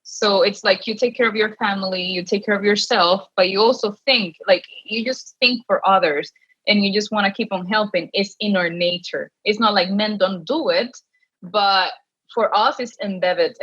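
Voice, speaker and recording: A woman's voice: medium pitch, steady tone, brisk, fairly bright tone, breathy edge, lo-fi sound. A woman from the Dominican Republic speaking casually and heartfelt as an interview guest. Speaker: guest, model. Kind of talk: interview conversation.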